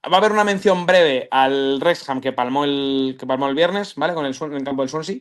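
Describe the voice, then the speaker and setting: A man's voice: medium pitch, a little fast. A man, chatting casually on a football podcast, rambling with slang and restarts. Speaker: podcast co-host.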